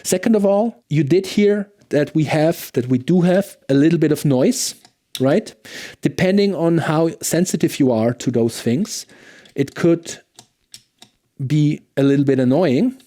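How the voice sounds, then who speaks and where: A man's voice: a little high, a little slow, warm tone. A man giving a casual, conversational product review as a gear demonstrator, enthusiastic and often checking in with the listener.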